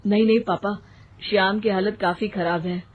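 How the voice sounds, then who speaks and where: A performer voicing a dutiful, caring elder son in an animated moral story, respectful and earnest. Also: medium pitch, moderate pace, lightly acted. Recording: thin phone-like sound, faint noise.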